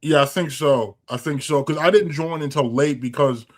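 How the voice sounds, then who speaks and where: A man's voice: fairly high pitch, moderate pace. A young man hosting a podcast, chatting casually and rambling through his memories with many restarts. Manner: rambling, lots of fillers and restarts. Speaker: podcast host. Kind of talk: casual interview chat.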